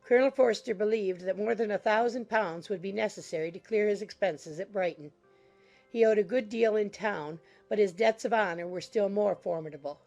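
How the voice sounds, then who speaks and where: A woman narrates a classic novel. Her voice sits at a medium pitch, delivering formal scripted prose as quiet music plays behind. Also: moderate pace. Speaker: audiobook narrator. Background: soft background music.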